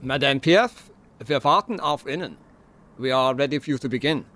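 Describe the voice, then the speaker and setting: An actor plays a wartime lieutenant in a radio play. His voice sits at a middle pitch. He speaks with stiff courtesy and official formality. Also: normal speed, noisy background, close to an everyday voice. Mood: courteous, official. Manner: formal, polite. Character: formal, courteous German army lieutenant of the 1940s. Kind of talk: audio drama acting.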